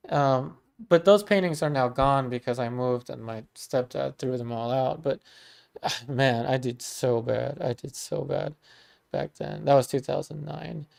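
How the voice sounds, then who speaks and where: A man's voice: mid-range voice, a little slow, vocal fry. A man in his thirties from Maryland, teaching painting on a casual livestream and chatting with viewers. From Maryland, USA. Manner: relaxed and chatty, many ums and restarts, warm thanks to viewers. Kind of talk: casual livestream chat, reading viewer comments.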